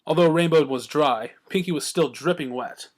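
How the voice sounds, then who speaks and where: A man's voice: fairly high pitch, normal speed. A man reading scripted fan fiction as a storyteller. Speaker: story reader.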